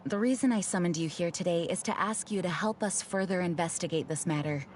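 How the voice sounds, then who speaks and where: An actress playing a calm, dutiful knight leader in a game, speaking in a formal, measured way. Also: female voice, on the low side, slight background noise, close to an everyday voice. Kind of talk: game character dialogue.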